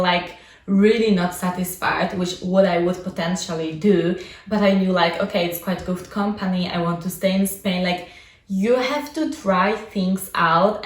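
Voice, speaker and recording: A young adult woman whose first language is Polish, talking casually about her own life as a vlogger and coach, with many fillers like "like" and "you know". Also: lowish voice. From Poland. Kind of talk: casual personal vlog. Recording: echoey room, mic at a distance.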